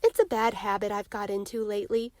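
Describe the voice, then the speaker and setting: A woman voicing a gentle, worried sixteen-year-old big sister in a soft, tender storybook read-aloud. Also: mid-range voice, fairly even intonation, fairly quick, no room sound, natural voice. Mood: wistful.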